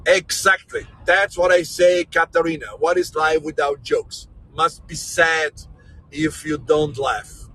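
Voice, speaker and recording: Jokey, bright-voiced tour guide man. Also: on the high side, low-quality recording. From Brazil, raised in Austria. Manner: jokey, energetic, non-native English. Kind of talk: live virtual tour, casual chat with viewers. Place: in a moving vehicle. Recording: vehicle noise in background.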